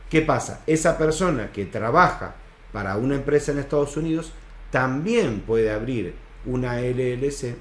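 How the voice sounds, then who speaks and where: A man's voice: mid-range voice, lively intonation, moderate pace. A man gives an informal explainer as an adviser, in a didactic way, posing questions and answering them himself. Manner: didactic, asks and answers own rhetorical questions. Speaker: business adviser presenting an explainer. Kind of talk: informal explainer talk to viewers. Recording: echoey, roomy sound.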